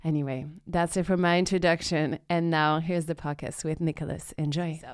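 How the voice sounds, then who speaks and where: Bright-voiced woman podcast host, crisp and upbeat. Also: deep voice, fairly even intonation, moderate pace, no echo, studio quality. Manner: upbeat and emphatic, repeats phrases for stress. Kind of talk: podcast intro and casual interview chat.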